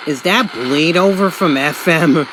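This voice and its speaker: Low-pitched, slow-talking woman reviewing gadgets. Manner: exasperated, exclaiming. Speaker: product reviewer. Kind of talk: casual gadget review commentary. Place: indoors at a table. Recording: clear radio static and handling noise.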